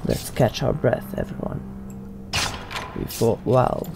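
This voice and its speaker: A man doing in-character roleplay commentary for a let's play, speaking in a measured way as the leader of a fantasy party. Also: medium pitch, huge pitch swings, creaky, noisy background. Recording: game music behind the voice. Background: fantasy video game music.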